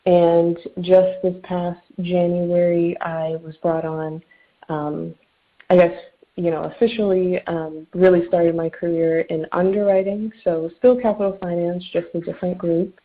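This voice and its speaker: A woman's voice: lowish voice, little pitch movement, measured pace. Young woman on a tinny call. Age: 20s. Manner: chatty with many fillers. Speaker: webinar panelist, underwriting analyst. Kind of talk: casual panel introduction. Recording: tinny phone-like line.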